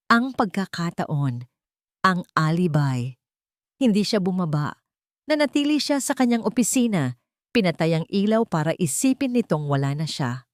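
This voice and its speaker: A woman's voice: lowish voice, dry recording, studio quality. A woman reading a scripted dramatic story as its narrator.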